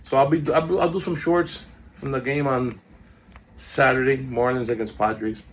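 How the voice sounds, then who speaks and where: A man's voice: medium pitch, fairly even intonation, unhurried, slight background noise. A man hosting a casual stock market video, chatty and drifting off topic while reading out stock moves, recorded on a phone. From South Florida. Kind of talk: casual rambling market commentary.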